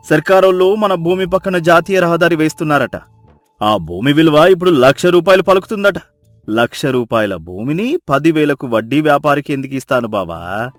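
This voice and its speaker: Guy playing a poor crafty villager in a storybook, theatrical, high voice, dry sound. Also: dry recording, natural voice. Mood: sly, wry. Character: crafty poor village man feigning despair.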